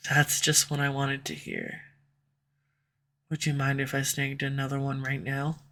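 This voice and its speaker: A woman's voice: a bass voice, monotone, measured pace, a bit bright, full character voice. A woman voicing a flustered tsundere female villain in love, in an audio roleplay for a listener, defensive at first and then tender. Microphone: USB condenser microphone at home.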